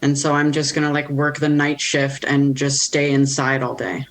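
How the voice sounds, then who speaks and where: Woman, interview guest, flat delivery, wry, self-mocking. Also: low voice, moderate pace. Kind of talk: candid interview answers.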